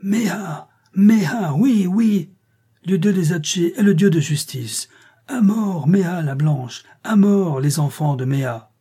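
A man's voice: sits high, animated, takes it slowly, breathy edge, voice pushed up, full character voice. A man voicing an enraged chanting crowd. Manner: chanted yelling.

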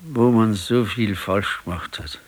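Weary old Bavarian husband. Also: male voice, fairly low pitch, husky. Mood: brooding, regretful. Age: older. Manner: resigned, halting, plain-spoken. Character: ailing, weary, brooding old retired husband. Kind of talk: naturalistic 1970s radio drama acting.

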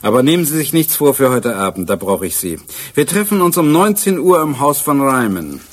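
An actor playing a shrewd, secretive police inspector in a German radio crime drama, speaking with measured authority. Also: male voice, mid-range voice, very animated, husky. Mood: brisk, secretive.

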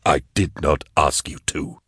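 A man voicing a grim, unyielding male mastermind, terse, cold and deliberate, in an audiobook story. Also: a bass voice, fairly even intonation, a bit bright, husky, no echo, clean studio sound, heavily acted. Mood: flat, unyielding.

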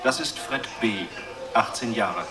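A man's voice: lowish voice, slow. Sober male documentary narrator, distant. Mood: sober, factual. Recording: distant voice under loud music.

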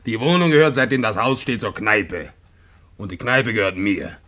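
An actor playing a middle-aged communist pub landlord in a radio drama, stubborn, blustering and argumentative. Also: male voice, fairly low pitch, lively intonation, moderate pace, fairly bright tone. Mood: indignant grumbling. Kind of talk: German radio play acting. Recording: noisy old radio drama recording.

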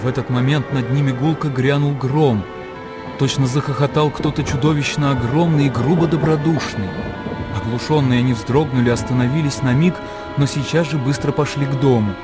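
Narrator, male, medium pitch, dramatic literary reading for radio drama, music bed. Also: steady tone, normal speed.

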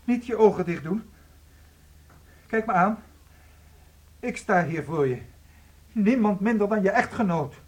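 A man's voice: high voice, animated, unhurried, long pauses, slight background noise. An actor playing a loving husband in his thirties in a radio play, theatrical and cheerfully resigned. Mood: matter-of-fact. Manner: theatrical, placating.

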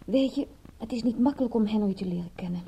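Very mellow, flippant young woman. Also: on the high side, quick pace, gravelly, slight background noise. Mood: teasing, evasive. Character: flippant, defensive young woman, adopted at twenty-one. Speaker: actress in a radio play. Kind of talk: radio drama acting.

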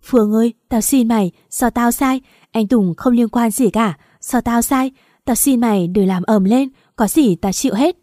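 A woman's voice: a little high, moderate pace, mellow, no echo, close to an everyday voice. A woman voicing a scheming young woman who pleads with fake tears in a raised, whiny voice in a dry recording. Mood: pleading.

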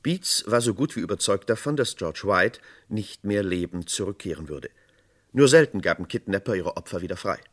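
A man's voice: sits low, animated, normal speed. A man narrating a radio crime play in a sober, matter-of-fact tone.

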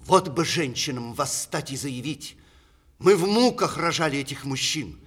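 Old witty playwright, opinionated, ironic, very animated pitch, old russian radio theatre style. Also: male voice, moderate pace, breathy edge. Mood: indignant, ironic. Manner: declamatory, rhetorical, ironic. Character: witty, opinionated ageing male playwright writing letters. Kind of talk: 1960s Russian theatre radio play acting.

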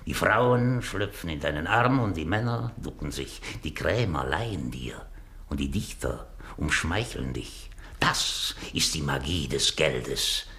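Greedy Venetian schemer, low forceful voice, theatrical declamation, gloating, radio drama. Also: male voice, heavily acted. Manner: theatrical, declamatory, savouring words. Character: gloating, miserly rich Venetian schemer who feigns dying. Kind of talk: German radio drama acting.

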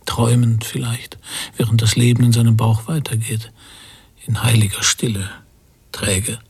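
Husky, hushed pensive emigrant father. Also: medium pitch, flat delivery, no room sound. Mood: brooding, hushed. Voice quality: breathy, husky, often near a whisper. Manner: slow, halting, introspective phrases. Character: pensive emigrant father at sea, relieved yet uneasy. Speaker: narrator and lead character. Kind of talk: literary radio drama monologue.

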